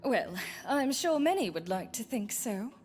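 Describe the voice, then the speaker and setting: A woman's voice: a little high, big pitch swings, measured pace, a little mellow. A woman playing an archly amused, haughty spiritualist in a visual novel, with pompous, old-fashioned formal diction. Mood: mocking amusement. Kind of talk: scripted visual novel character acting.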